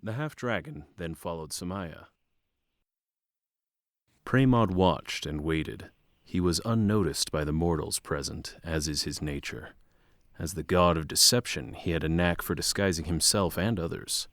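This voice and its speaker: A man's voice: low voice, unhurried, a bit bright, no echo, clean studio sound. A man narrating a fantasy audio drama from a script.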